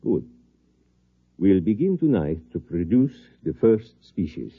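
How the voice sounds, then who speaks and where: Deep-voiced, husky visionary scientist. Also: male voice, sing-song, full character voice. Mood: earnest, persuasive. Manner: formal, deliberate, precise. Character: assured, intense male doctor, a visionary scientist. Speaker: radio drama actor. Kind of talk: 1950s American radio drama acting. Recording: dull lo-fi 1950s radio recording.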